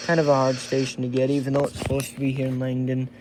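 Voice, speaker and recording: A man giving a casual hands-on product review, thinking aloud in a halting way as he demonstrates. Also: mid-range voice, monotone. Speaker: amateur gadget reviewer. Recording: noisy homemade recording with radio static.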